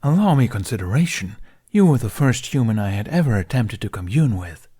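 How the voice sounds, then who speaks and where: A man's voice: medium pitch, big pitch swings, fairly quick, mellow, husky. Man voicing an indignant, formal male dragon. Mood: dry, formal. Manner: formal, precise, exasperated.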